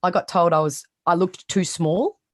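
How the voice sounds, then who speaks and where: A woman in her thirties with a raspy voice and a strong Australian accent, talking bluntly as a guest in a casual interview. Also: fairly low pitch, normal speed, dry recording, studio quality. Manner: blunt, animated, swears freely. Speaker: sports reporter, guest.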